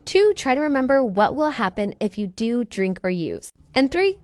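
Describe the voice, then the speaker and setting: A woman's voice: on the high side, big pitch swings, moderate pace, a little mellow, no room sound, studio quality. A young woman vlogger telling a personal story in a candid, confessional way, with a voice filter on her voice.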